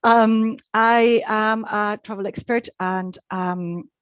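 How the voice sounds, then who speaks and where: A woman's voice: mid-range voice, fairly even intonation, takes it slowly, clear, resonant tone, no room sound, studio quality. A middle-aged woman from Chile, presenting a live online travel talk in a chatty way, with frequent ums and restarts. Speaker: travel expert presenting a webinar.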